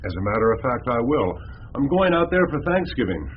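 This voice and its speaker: An actor playing a smooth, unruffled businessman in a radio drama, speaking formally and measuredly with pointed questions. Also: mid-range voice, sing-song, brisk, close to an everyday voice. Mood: polite. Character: smooth, unruffled businessman, challenging his accusers. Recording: muffled phone-like band, faint noise.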